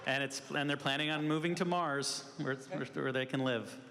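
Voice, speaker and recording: A man's voice: medium pitch, fairly even intonation, brisk, a crowd in the background. Man plainly explaining a premise. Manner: explaining, matter-of-fact. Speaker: actor guest. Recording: echoey convention hall.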